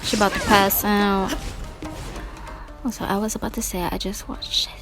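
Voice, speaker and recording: A woman's voice: mid-range voice, little pitch movement, moderate pace, music in the background. A young adult woman giving casual, slangy, reactive commentary while playing a video game.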